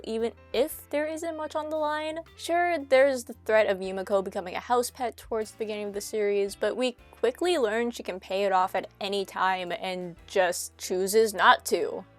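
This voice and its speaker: A woman's voice: on the high side, soft background music. Chatty young woman with a stuffy nose. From Canada. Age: young adult. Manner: chatty, self-deprecating, quick asides. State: nasal from a cold. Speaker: commentary YouTuber. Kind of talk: casual scripted commentary.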